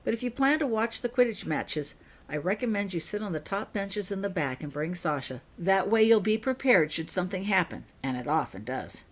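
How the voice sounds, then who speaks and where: A woman voicing a brisk, kindly, matter-of-fact healer at a school in a storybook reading. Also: medium pitch, lively intonation, lightly acted. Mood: brisk, caring.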